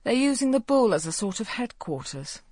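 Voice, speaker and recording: A woman voicing a brisk, practical middle-aged mother with a sharp tongue in an audiobook. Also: on the high side, sing-song, no echo, studio quality, pitched above the performer's own voice.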